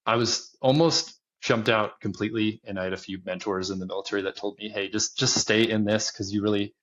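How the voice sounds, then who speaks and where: A man in his thirties, a podcast guest, talking casually about his business and travels, relaxed and often chuckling. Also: on the low side, brisk, muffled recording. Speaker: podcast guest, land investor. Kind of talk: casual interview talk.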